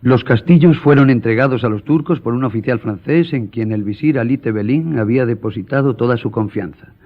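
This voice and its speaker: An actor playing a proud, composed gentleman journalist in a radio drama, speaking formally and firmly. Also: medium pitch, highs cut off, close to an everyday voice. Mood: dry, questioning. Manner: formal, measured, firm. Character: proud, composed male newspaper journalist, duel-ready gentleman.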